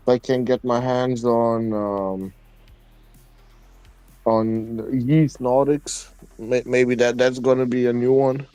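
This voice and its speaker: A man co-hosting a casual gaming podcast, halting and hesitant, swearing when annoyed. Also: mid-range voice, mellow, smooth, dry recording. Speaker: podcast co-host.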